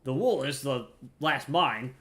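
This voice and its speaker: A blustering, impatient miller from a fantasy game, played by a man, declaims grandly about the trade. The voice is bright and forceful, swinging up and down in pitch. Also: expressive, slow. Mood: indignant. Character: blustering, impatient miller obsessed with his craft. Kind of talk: theatrical fantasy game quest dialogue.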